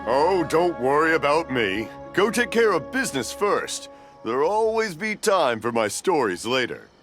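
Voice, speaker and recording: A man's voice: fairly high pitch, sing-song, moderate pace, over music. A man playing a kindly, cheerful old father in a video game, hard of hearing, genial and rambling. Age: older.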